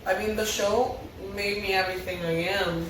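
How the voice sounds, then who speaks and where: A woman's voice: mid-range voice, fairly even intonation, moderate pace. Woman in a very echoey, noisy room. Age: 20s. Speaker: fan discussing a TV series. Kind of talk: casual chat. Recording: very echoey room, distant mic, background noise.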